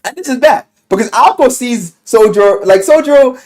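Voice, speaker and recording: A man telling a story casually in slang and swearing, as an animated video game reviewer who acts out what people say. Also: very high-pitched, normal speed. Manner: animated storyteller, acting out quoted lines.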